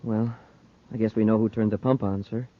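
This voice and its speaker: An actor playing an eager, respectful young space cadet in an old radio adventure. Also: male voice, fairly low pitch, fairly even intonation, a little fast, close to an everyday voice. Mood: earnest. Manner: earnest, deferential. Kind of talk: mid-century radio adventure acting. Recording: noisy, muffled old radio recording.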